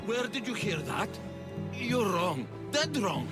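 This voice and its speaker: A man's voice: sits high, very animated, slow pace, over loud music, exaggerated voice. An actor playing a shifty, panicky, defensive man in debt, in a dramatic video game.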